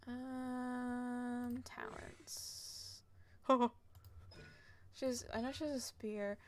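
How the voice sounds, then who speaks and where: A young woman live-streaming a game, giggling and reading game text aloud haltingly. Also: fairly high pitch, steady tone. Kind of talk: casual live-stream commentary.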